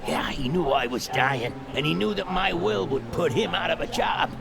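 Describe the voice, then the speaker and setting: Dying crusty patriarch for a video game: high, heavily creaking old voice, animated, feisty though failing. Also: male voice, normal speed, a crowd in the background. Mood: bitter, indignant. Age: older. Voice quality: gravelly, coughing. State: weak, dying. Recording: music behind the voice.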